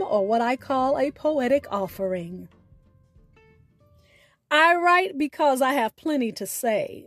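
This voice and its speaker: A woman hosting a podcast, reading a scripted sign-off in a deliberate, carefully enunciated way. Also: high voice. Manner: deliberate, spells out names and details. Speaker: podcast host.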